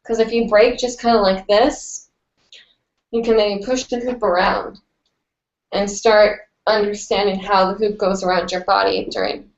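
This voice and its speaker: A woman's voice: medium pitch, level tone, a little slow, highs cut off. A young woman with a stuffy, nasal voice, chatting casually and rambling as she answers viewers' hooping questions on a live stream. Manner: chatty, rambling, with many fillers.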